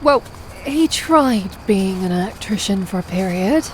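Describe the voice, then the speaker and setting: A young woman, played by an actress, in an audio drama, with noticeable ambient noise around her. She is guarded and sardonic, teasing in a dry deadpan at a medium pitch. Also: a little slow, lightly acted. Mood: wry, bitter. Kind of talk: naturalistic audio drama acting.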